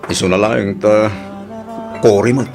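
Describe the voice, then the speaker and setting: A man's voice: lowish voice, fairly even intonation, a little slow, vocal fry, a little mellow, faint music behind. A man acting an evasive, hesitant married man in an Ilocano audio drama, with a Filipino accent. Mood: evasive, uneasy.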